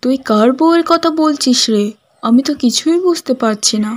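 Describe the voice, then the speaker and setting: A woman's voice: high voice, normal speed, close to an everyday voice. A woman playing a nosy, scheming village gossip in melodramatic cartoon dialogue. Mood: nosy, curious.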